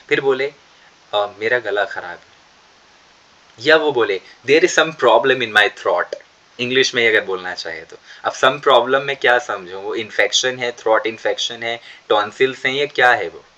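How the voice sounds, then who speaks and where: A man with an Indian English accent teaching an online spoken English class, saying model English sentences slowly and deliberately. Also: mid-range voice, pushed and bright, muffled recording. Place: online session.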